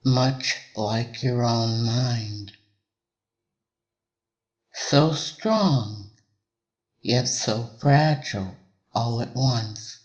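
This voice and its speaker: A man delivering a hypnotic induction in a measured, deliberate way, with long pauses between short phrases. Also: medium pitch.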